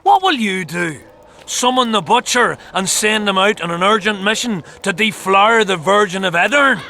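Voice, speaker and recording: A man's voice: high-pitched, lively intonation, normal speed, gravelly, pushed and bright, slight background noise, heavily acted. A man playing a proud, stubborn young soldier in a fantasy video game, scornful and sarcastic. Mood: scornful, defiant. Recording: music behind the voice.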